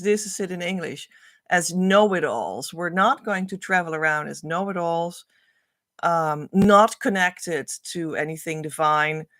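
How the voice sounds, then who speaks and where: A woman's voice: on the low side, no room sound. Dutch-accented woman teaching, dry close sound. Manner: earnest, repetitive, flowing phrasing with soft trailing endings. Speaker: spiritual coach. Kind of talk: livestream teaching talk.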